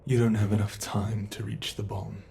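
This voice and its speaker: A man voicing a gravelly ancient bed monster. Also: lowish voice, flat delivery, dark tone, a little hiss or hum. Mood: brooding. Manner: slow, brooding, intimate monologue to the listener. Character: ancient immortal male monster under the bed, weary and menacing. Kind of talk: ASMR horror roleplay.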